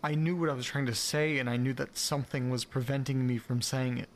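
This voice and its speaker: Casting: male actor for a brooding, bewildered male diarist, mid-pitched, halting and repetitive, faint noise behind him. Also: steady tone, lightly acted. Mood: uneasy, puzzled. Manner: halting, brooding, swearing, repeating words. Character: bewildered, frustrated man recording a confessional audio diary. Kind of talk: audio drama monologue, naturalistic.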